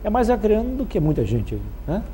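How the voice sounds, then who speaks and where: A Brazilian man with a husky voice, hosting a television talk show in a chatty presenting style. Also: high voice, big pitch swings, a little fast, mellow, slight background noise. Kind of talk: live show presenting with sponsor read and chatty interviewing.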